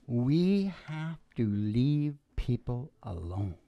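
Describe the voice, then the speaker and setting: A man's voice: medium pitch, very animated, extremely slow, vocal fry, dry recording, muffled recording. An older man hosting from a stage, chatting casually in a colloquial, emphatic, rambling and opinionated way into a vocal microphone. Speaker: musician and show host. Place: small indoor stage. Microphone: close stage vocal microphone.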